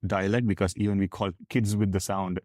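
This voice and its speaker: A South Indian man, a native Tamil speaker with the accent to match, talks in a fairly deep voice. He explains his views earnestly and at length, in candid conversational style. The sound is dry, with little room in it. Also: level tone, a little fast, darkish tone, no echo. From Tamil Nadu, South India. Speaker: podcast guest.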